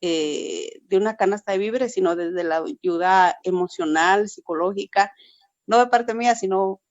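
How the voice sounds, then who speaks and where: A woman's voice: mid-range voice, a little slow, no room sound, muffled recording. A woman from Peru, a pastor, giving an earnest testimony in a forum over a video call, often repeating herself and adding "verdad". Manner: earnest, repetitive, frequent "verdad".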